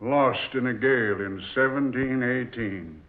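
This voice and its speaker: A man's voice: mid-range voice, a little slow, natural voice. A man playing a stern ship captain on old radio. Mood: brooding. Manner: gruff, issues orders. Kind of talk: 1940s radio acting. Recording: tinny old radio recording.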